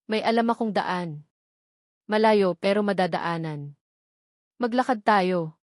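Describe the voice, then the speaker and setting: A woman voicing a guarded, gentle male teacher in a romance story, restrained and earnest. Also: medium pitch, a little slow, dry recording, studio quality, natural voice. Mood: reassuring.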